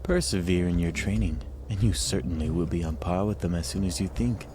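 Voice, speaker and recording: A man's voice: deep voice, a little fast, noisy background, close to an everyday voice. A man playing a regal, benevolent desert ruler and master in a fantasy audio drama, speaking with formal authority. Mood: encouraging, stately.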